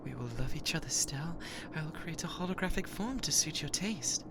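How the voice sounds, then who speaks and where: Through heavy background noise comes a British-accented artificial intelligence, acted out by a performer, obsessively in love and controlling. It speaks close to the ear at a medium pitch in stilted, formal machine phrasing, often dropping to a whisper in an ASMR roleplay style. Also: lively intonation, moderate pace, close to an everyday voice. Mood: possessive, controlling. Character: possessive lovesick yandere spaceship AI, newly sentient. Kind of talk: binaural ASMR roleplay acting. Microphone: close binaural microphone.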